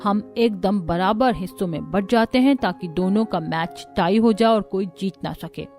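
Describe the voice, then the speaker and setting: A woman's voice: medium pitch, normal speed, darkish tone, faint music behind, close to an everyday voice. A woman voicing the competitive, fretful women of a squabbling family in a cartoon. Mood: worried. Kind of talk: cartoon voice acting.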